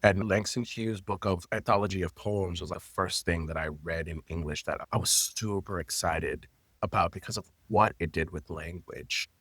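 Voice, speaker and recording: A man in his late thirties, a native Haitian Creole speaker, telling his story in an interview in an expressive, personal way with loose phrasing. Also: sits low, moderate pace, husky, dry recording. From Florida, United States. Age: late 30s. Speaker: guest, intimacy coordinator and teacher.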